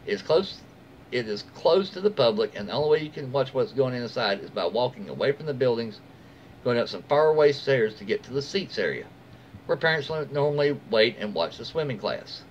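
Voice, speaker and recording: A man in his forties with an American accent reads a story aloud in a medium-pitched voice. He is informal and sometimes trips on a word and fixes it. The home recording is slightly dull and lo-fi, with faint noise behind him. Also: lively intonation, fairly quick. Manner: stumbles over words and corrects himself. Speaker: storyteller reading online posts aloud. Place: small room at home, indoors.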